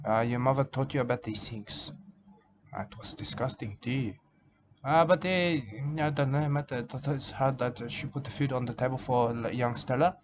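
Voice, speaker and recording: A man rambling through a halting comic monologue in broken grammar, filling it with "mate" and "I can tell you". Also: medium pitch, lo-fi sound, background noise. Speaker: comedic character monologue. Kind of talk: rambling comic monologue as a nature-documentary style character.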